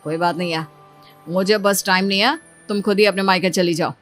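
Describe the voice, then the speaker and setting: A woman's voice: mid-range voice, steady tone, a little fast, pitched below the performer's own voice, lightly acted. Woman acting a grumbling young husband, music behind. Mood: irritated, curt. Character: moody, insecure young husband, weary and irritable. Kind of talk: dramatised cartoon moral story.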